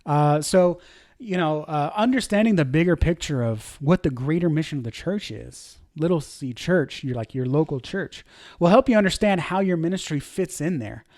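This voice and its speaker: A man's voice: fairly high pitch, lively intonation, moderate pace, a little mellow, dry recording, studio quality. A man in his thirties, a church media director, teaching a leadership lesson in an informal, conversational way, with fillers and self-corrections. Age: 30s.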